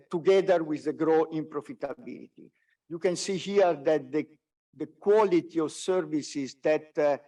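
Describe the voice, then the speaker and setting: Halting non-native man, distant mic. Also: a little high, darkish tone. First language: not English. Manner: halting, frequent hesitations and repeated words. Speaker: company executive presenting results. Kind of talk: business presentation on a conference call. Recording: distant mic, conference call audio.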